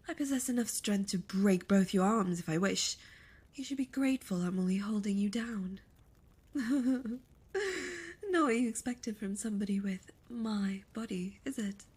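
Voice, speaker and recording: A woman voicing a seductive, imperious and gloating succubus demon in an ASMR audio roleplay, breathy and often lapsing into whispers, with a theatrical, condescending delivery. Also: medium pitch, a little mellow.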